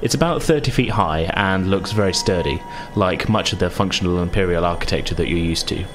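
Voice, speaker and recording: A man's voice: deep voice, brisk, background noise. A man narrating a tabletop roleplaying game as game master, descriptive and conversational.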